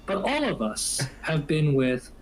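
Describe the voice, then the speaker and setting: A man in his twenties co-hosting a podcast in casual chat, ranting with animated profanity and repeating phrases for emphasis. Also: on the high side, unhurried, faint music behind, rough recording. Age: 20s. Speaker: podcast co-host.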